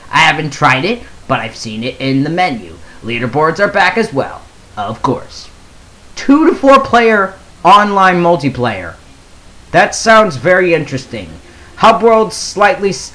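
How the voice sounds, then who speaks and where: A gaming video creator giving casual commentary and reading a post aloud, halting and stumbling over words now and then. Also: mid-range voice, a bit bright, low-quality recording.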